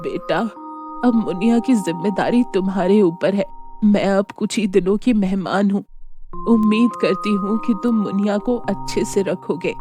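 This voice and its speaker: Woman as a gentle old grandmother over music. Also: medium pitch, normal speed, lightly acted. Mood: solemn, tender. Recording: instrumental music behind the voice.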